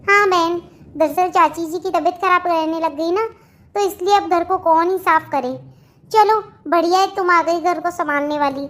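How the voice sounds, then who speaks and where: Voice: extremely high pitch, fairly even intonation, moderate pace, fairly bright tone. A performer voicing a gossipy, two-faced young sister-in-law in a family cartoon, chatty and placating. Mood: probing. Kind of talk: Hindi family comedy cartoon dialogue.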